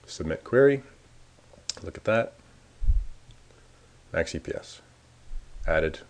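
A man casually talking through a software demo, thinking aloud as he clicks around the screen. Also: sits low, expressive, slow pace, gravelly, low-quality recording. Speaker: software developer demoing a tool. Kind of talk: screencast walkthrough.

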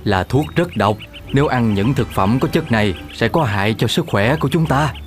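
A man's voice: medium pitch, lively intonation, normal speed, soft background music, lightly acted. A man voicing a patient, earnest animal father who explains things in a fatherly cartoon style. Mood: explanatory. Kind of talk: animated children's cartoon dialogue.

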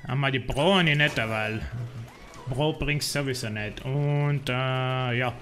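Bright-voiced South Tyrolean streamer. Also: male voice, medium pitch, slow pace, a little hiss or hum. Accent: South Tyrolean German. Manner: rambling, complaining, many fillers. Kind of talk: casual gameplay livestream chat.

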